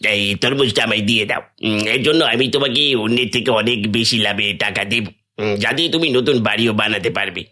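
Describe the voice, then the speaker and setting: A man's voice: lowish voice, moderate pace, pushed and bright. A man playing a wealthy city fruit merchant in a cartoon story, coaxing, with little hums between phrases.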